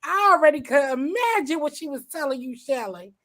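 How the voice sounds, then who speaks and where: A woman calling into a casual live chat, with a voice that turns hoarse at times, handing out sassy advice. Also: high voice, expressive, fairly quick, a bit bright. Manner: sassy, advising, calls everyone girl. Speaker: guest caller.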